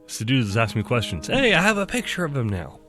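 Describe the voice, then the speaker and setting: A man's voice: medium pitch, huge pitch swings, quick pace, music in the background. A man streaming a game, talking in a chatty, rambling way with a stuffy nose from allergies. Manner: chatty, rambling, self-deprecating. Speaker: gaming streamer. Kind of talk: casual game commentary.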